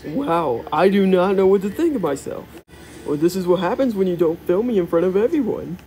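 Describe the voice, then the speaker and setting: A man's voice: high voice, expressive, fairly quick, dark tone, rough recording. Man as a vain, huffy cartoon steam engine.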